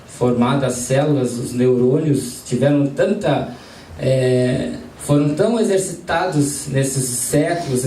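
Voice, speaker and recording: A man's voice: medium pitch, dark tone. A man leading a spiritist study group, talking casually and putting questions to the people in the room. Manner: conversational, asks the audience questions. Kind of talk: informal group lesson with questions to audience. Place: study centre meeting room. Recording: roomy, distant mic, faint background noise.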